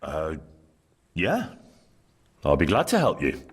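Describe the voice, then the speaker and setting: An actor playing an earnest, cheeky young blacksmith's hand, polite and plain-spoken, in a video game. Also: male voice, rumbling low, huge pitch swings, slow pace, husky, full character voice. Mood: earnest, hesitant. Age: young adult. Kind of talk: video game dialogue acting.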